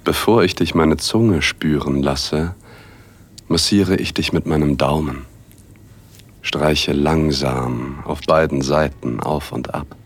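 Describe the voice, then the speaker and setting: A man narrating a first-person erotic audio drama in a hushed, intimate, unhurried way, with a husky voice. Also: a bass voice. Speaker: narrator and male lead.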